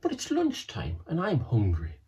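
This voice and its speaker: A man's voice: medium pitch, huge pitch swings, moderate pace, darkish tone, voice pushed up. A man voicing a cheeky, hungry schoolboy in a children's story, with a lighter, whiny and eager tone. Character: cheeky hungry schoolboy, the hero's best friend.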